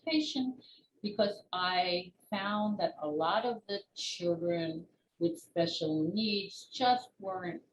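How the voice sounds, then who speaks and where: A woman's voice: on the low side, slow. Older woman in an echoey, noisy room. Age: 60s or older. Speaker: children's book author and retired teacher being interviewed. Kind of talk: conversational interview answers. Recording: roomy echo with clear background noise.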